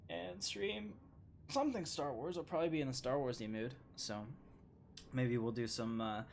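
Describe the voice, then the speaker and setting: A man giving casual live gameplay commentary as a streamer, chatting and rambling to his viewers as he plays. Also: medium pitch. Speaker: game streamer, host.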